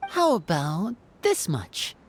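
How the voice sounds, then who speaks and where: A woman playing a calm, formal, reserved adventurer with polite, measured delivery in a tabletop roleplay. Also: on the low side, breathy edge, heavily acted. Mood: composed.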